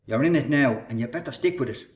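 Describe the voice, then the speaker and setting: A man's voice: mid-range voice, fast, breathy edge, lightly acted. An actor playing a scheming, menacing Irish workman and ringleader in a radio play, with a taunting, sarcastic, bossy manner. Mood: impatient, bossy.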